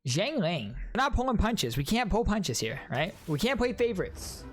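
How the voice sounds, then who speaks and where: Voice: medium pitch, big pitch swings, normal speed. A streamer giving casual, joking reaction commentary and ranking game trailers while talking to chat. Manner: chatty, joking, addresses chat directly.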